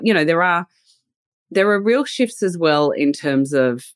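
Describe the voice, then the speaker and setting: A woman's voice: low voice, dry recording, studio quality. A woman in her forties with an Australian accent, speaking as a podcast guest in a thoughtful, conversational way, laughing easily. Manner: warm, laughs readily, thoughtful with "you know" fillers. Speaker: guest, leadership and culture consultant.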